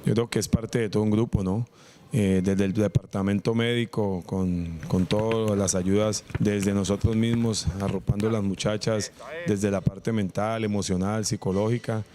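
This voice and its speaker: A man's voice: lowish voice, fairly even intonation, moderate pace, mellow, a little hiss or hum. A man giving a spontaneous interview answer as a member of a football team's staff.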